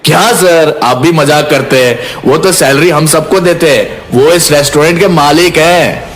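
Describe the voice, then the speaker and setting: A man playing a polite, deferential restaurant waiter in an animated moral story. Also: fairly high pitch, a little slow. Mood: polite, amused.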